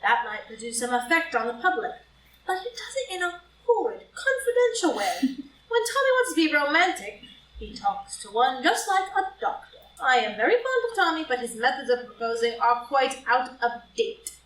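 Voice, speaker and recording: A woman's voice: sits high, very animated, moderate pace, fairly bright tone. A woman acting a flippant, vain, playful young society lady in a group play reading, with an arch, breezy, teasing manner. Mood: playful complaint. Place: live in-person group reading in a room. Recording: roomy, distant live reading, noisy.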